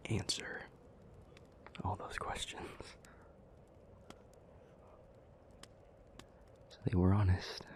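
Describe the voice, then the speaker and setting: A man playing an ancient, somber, thoughtful demon in an intimate audio roleplay, telling old myths in a breathy, hushed, halting voice with long pauses. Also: deep voice. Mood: subdued, reflective. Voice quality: breathy, whispery.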